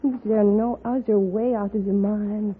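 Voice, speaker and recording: A woman's voice: mid-range voice, steady tone, a little slow, close to an everyday voice. An actress playing an anxious, wistful French-accented lady in a 1950s radio western, with hesitant, formal phrasing. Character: anxious, wistful foreign lady in the Old West. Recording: noisy, tinny 1950s radio recording.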